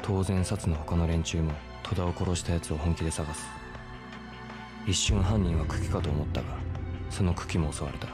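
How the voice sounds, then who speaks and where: Guy doing stubborn young street fighter, game drama, deep gruff voice, rough blunt talk, music under it. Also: moderate pace, natural voice. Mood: pensive. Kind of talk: Japanese video game cutscene acting.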